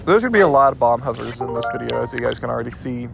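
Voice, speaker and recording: A man talks through a video game in a loose, rambling way, correcting himself as he goes. His voice is gravelly and a bit stuffed up. Game music plays clearly behind him. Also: mid-range voice, expressive, rapid-fire, poor audio. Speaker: gameplay commentator. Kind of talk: casual let's-play commentary.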